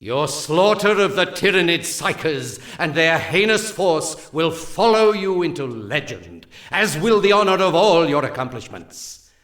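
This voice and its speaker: A man's voice: a little high, sing-song, a little slow, a bit bright. A man playing a solemn alien seer in a video game, delivering grandiose, archaic briefings to warriors with prophetic gravity. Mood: exalted, urgent. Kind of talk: scripted game mission briefing. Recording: roomy echo, distant from mic.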